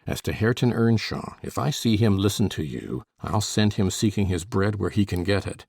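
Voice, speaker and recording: A furious older master of the house, acted by a woman narrator, deep and husky. He commands and threatens with savage menace, in the manner of a classic novel's tyrant. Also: lively intonation, normal speed, a little mellow, no room sound, studio quality, full character voice. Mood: grim, menacing.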